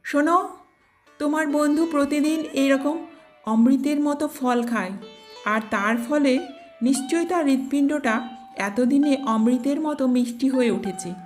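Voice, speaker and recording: Woman as a greedy, high-voiced crocodile wife. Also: measured pace. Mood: wheedling, demanding. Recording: music behind the voice.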